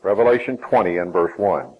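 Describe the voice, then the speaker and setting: A man with a Southern US accent preaching a sermon, emphatic, with his pitch climbing and falling and some phrases drawn out. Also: lively intonation, brisk, slightly rough, dull audio.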